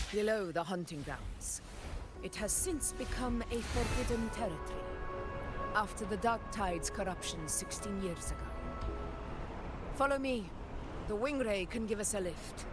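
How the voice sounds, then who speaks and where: A woman's voice: mid-range voice, close to an everyday voice. A woman playing a commanding warrior ruler in a video game, speaking formally and resolutely, like an orator. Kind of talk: video game cutscene acting.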